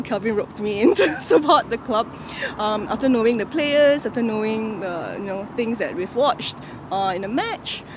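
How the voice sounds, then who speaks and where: A woman from Singapore, talking with enthusiasm and giggles about a stadium tour. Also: mid-range voice. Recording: street noise behind.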